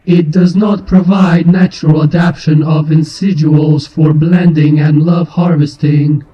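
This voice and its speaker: A man's voice: a little high, level tone, unhurried, mellow, close to an everyday voice. A man voicing a cold hive analyzer creature in a story reading, formal, clinical and declarative. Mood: clinical, stern. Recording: dull muffled sound, music behind.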